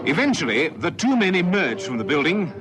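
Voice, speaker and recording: A man narrates a vintage radio crime drama. His voice sits fairly high and moves in lively, dramatic swings, with loud music behind. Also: brisk.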